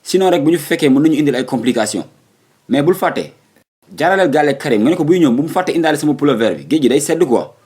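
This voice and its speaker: Man acting a rapid-fire, husky Senegalese young son. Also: fairly high pitch, expressive, a little mellow. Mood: urgent. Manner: argumentative, quick excuses. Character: determined, evasive young son eager to leave home. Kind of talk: comic cartoon dubbing.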